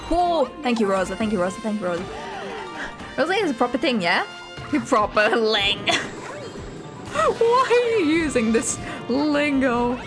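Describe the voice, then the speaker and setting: A chatty young streamer from northern England, her accent softened, talks in a fairly high voice that leaps up and down. She giggles and squeals as she plays. Game music runs clearly underneath her voice. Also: a little slow, pauses often. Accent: Northern English, softened. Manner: chatty and giggly, reads chat aloud, excited squeals. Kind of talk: casual live-stream chat while gaming.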